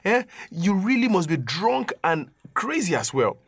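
A man's voice: high-pitched, very animated, measured pace, slightly rough, no room sound, heavily acted. A man playing a bitter, suspicious young hospital patient in an audio drama, with a Nigerian accent and scornful questioning. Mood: scornful, angry.

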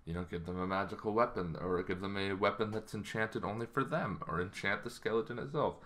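A man in his thirties giving a casual, rambling explainer to camera, halting as he goes, in a small bedroom. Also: lowish voice, fairly bright tone. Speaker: host of a tabletop roleplaying channel.

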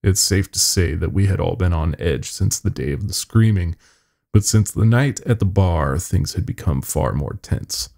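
A man reads a first-person horror tale for a podcast. His voice is very low, with a lot of creak in it. The delivery is scripted storytelling. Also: moderate pace, darkish tone.

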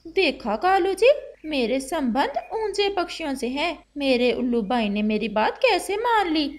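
Woman voicing a haughty high-pitched scheming female crow. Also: big pitch swings, unhurried. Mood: gloating. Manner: haughty, gloating. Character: scheming, vain female crow, spiteful and boastful. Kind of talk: children's cartoon story acting.

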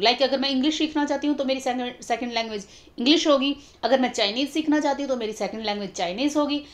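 A young woman, explaining exam questions step by step, repeating key terms. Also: on the high side. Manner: explanatory, repeats key terms, mixes English titles into Hindi. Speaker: exam-prep teacher. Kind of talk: explaining exam questions over annotated screen.

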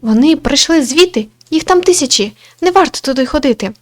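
A woman's voice: high voice, expressive, fairly quick, pitched above the performer's own voice. A woman voicing a gallant, courteous male cat knight from a visual novel, speaking in a formal, chivalrous way. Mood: cautionary. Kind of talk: visual novel character reading.

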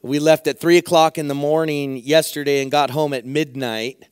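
Hoarse pastor with a raised voice. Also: male voice, fairly high pitch, fairly even intonation, moderate pace, no echo, clean studio sound. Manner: earnest, repeats phrases for emphasis. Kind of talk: sermon. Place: church sanctuary at a pulpit, indoors.

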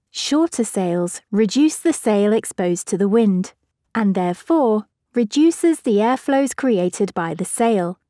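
A woman giving a measured, scripted narration for an educational physics video. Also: medium pitch, warm tone, no room sound, studio quality.

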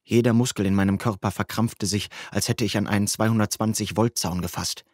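Audiobook narrator: man with a fairly low voice, very dry studio sound, first-person youth fiction. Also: steady tone, fairly quick, darkish tone, no echo.

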